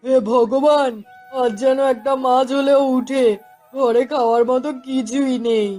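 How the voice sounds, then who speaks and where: A woman's voice: sits high, level tone, unhurried, warm tone, faint music behind. A woman voicing a poor, anxious village fisherman who exclaims and laments in a cartoon fairy tale. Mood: worried, cautious. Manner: talking to himself, exclaiming and lamenting.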